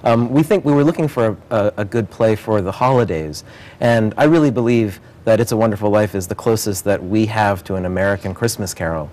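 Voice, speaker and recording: A man with a fairly low voice talks as a theater director being interviewed. He sounds earnest and enthusiastic, explaining his work in a conversational, promotional way. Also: a little fast, darkish tone. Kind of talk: promotional interview about a stage production.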